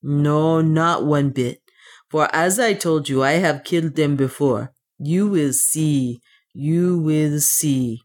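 Woman voicing a grim, boastful low-voiced dwarf warrior. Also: slow, a little mellow. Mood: grimly determined. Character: loyal grim male dwarf warrior, boastful, devoted servant. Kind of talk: audiobook character dialogue.

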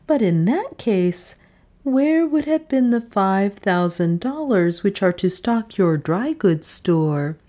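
A woman's voice: mid-range voice, expressive, slow pace, mellow, pitched above the performer's own voice. A woman voicing a bold, scheming wife with an arch, coaxing manner. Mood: sly. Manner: arch, coaxing, theatrical lilt. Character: bold scheming middle-aged wife, sly and domineering.

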